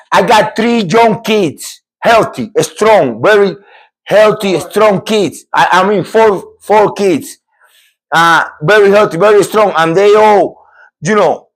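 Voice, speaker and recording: A middle-aged man from Cuba, hosting a podcast and telling a true story in an animated, emphatic way with many repeats and restarts. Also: a little high, poor audio. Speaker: podcast host. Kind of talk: storytelling a true scary encounter.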